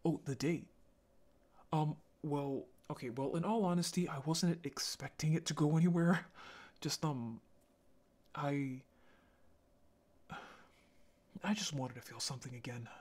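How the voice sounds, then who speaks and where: A man playing a lonely, apologetic young male zombie in an audio roleplay, speaking in a halting, intimate way. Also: on the high side, darkish tone, close to an everyday voice. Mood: awkward, hesitant. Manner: halting, stammering, sighing.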